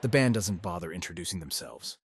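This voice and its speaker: A man's voice: deep voice, lively intonation, brisk, a little hiss or hum. A man reading scripted first-person narration in a wry, exasperated manner, as narrator of a voiced visual novel. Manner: wry, exasperated, sweary teenage protagonist.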